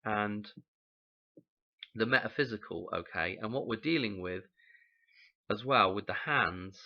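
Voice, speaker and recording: A man, croaky from a cold, rambling through a casual explanation and often checking in with "okay?". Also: on the low side, measured pace, muffled recording. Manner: rambling, musing, frequent "okay?" check-ins. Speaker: channel host explaining number codes.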